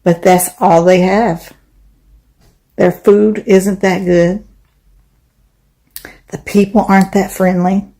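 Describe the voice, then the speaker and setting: A woman's voice: medium pitch, little pitch movement, takes it slowly, pauses often, warm tone. A woman giving blunt, teasing opinions in a casual social media video. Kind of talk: casual commentary.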